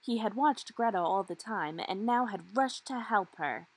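A woman's voice: mid-range voice, expressive, measured pace, slightly rough, no echo. A woman reading a children's storybook aloud expressively, with dramatic emphasis on key words. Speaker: storybook reader.

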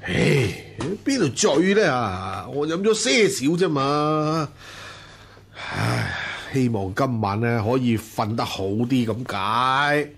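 A man's voice: medium pitch, lively intonation, a bit bright, slightly rough, exaggerated voice. An actor playing a wealthy, lustful and imperious household master in a Chinese period radio drama, sighing and drawing out his words. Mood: tipsy, mournful. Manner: sighing, drawn-out delivery.

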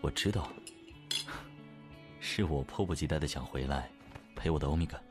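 A composed, devoted male boss in an audio drama. The voice is extremely deep, measured and restrained, with music behind it. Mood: calm, tender.

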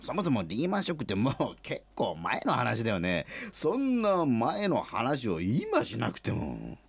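Flustered company boss, swooping pitch. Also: male voice, wildly swooping pitch, a little slow, vocal fry, husky, dry recording, full character voice. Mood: flustered, evasive. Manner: hesitant, stammering, exasperated. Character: flustered, good-natured middle-aged small company president. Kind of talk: comic radio drama acting.

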